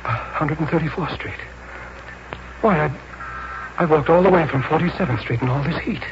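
An actor playing a troubled, confused artist in a 1940s radio drama, speaking in a halting, repetitive, anguished way. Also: male voice, on the high side, natural voice. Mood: dazed, distressed. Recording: noisy muffled vintage 1940s radio recording.

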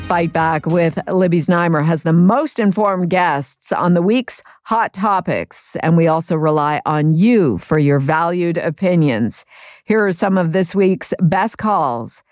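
Woman radio host, dry close sound, low voice. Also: expressive, unhurried, darkish tone, dry recording, soft background music. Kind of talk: scripted radio presenting.

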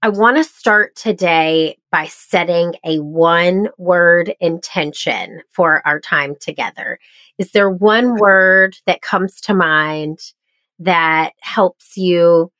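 A woman's voice: lowish voice, slow, fairly bright tone, no echo. A woman hosting a podcast interview, her voice nasal and congested after the flu, talking in an upbeat, enthusiastic way. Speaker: podcast host.

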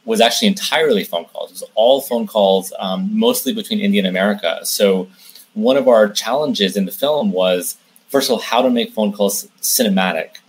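Man, low husky voice, interview guest, explanatory, hesitant phrasing with repeats. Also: moderate pace. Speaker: interview guest, film director and producer. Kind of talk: conversational interview answers.

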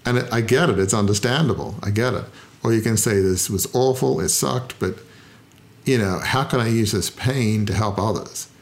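A middle-aged man talking in an interview, reflective and self-deprecating, often pausing with "uh" as he thinks. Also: on the low side. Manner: thoughtful, self-deprecating, frequent "uh" pauses. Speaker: founder and interview guest.

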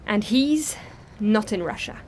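A woman's voice: mid-range voice, lively intonation, unhurried, a little hiss or hum, close to an everyday voice. A woman playing an evasive, hesitant underling to a villain in an audio drama. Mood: uneasy.

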